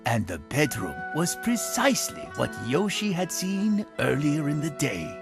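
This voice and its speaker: A storyteller giving a scripted folktale narration with dramatic, deliberate phrasing. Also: medium pitch, sing-song. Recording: music behind the narration. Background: prominent music.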